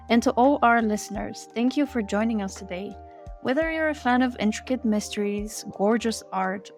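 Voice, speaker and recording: A woman's voice: mid-range voice, darkish tone. Female interview host, middle register, scripted delivery over light background music. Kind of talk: scripted podcast hosting.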